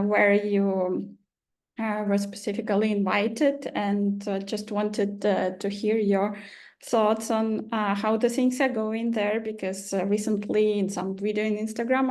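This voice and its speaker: A woman hosting an interview, asking long questions haltingly with many fillers. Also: on the high side, darkish tone. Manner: halting and hesitant, with many fillers between phrases. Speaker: interviewer host.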